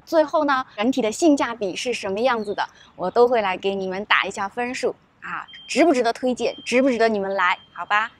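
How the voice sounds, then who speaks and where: A woman's voice: a little high, fairly quick, few pauses. A woman in her thirties from Shanghai with a Shanghai Mandarin accent, chatting upbeat and straight to the viewer in a casual vlog, recorded on a clip-on mic in a garden café. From Shanghai, China. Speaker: travel vlogger. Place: garden and wooden café, mostly outdoors. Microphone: small clip-on mic on the shirt. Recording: soft music underneath.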